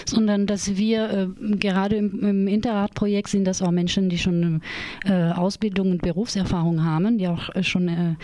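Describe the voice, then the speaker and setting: A woman's voice: medium pitch, fairly even intonation, normal speed, dark tone, slight background noise. A woman with a light French accent, speaking as a project leader in a radio interview, hesitant with many fillers.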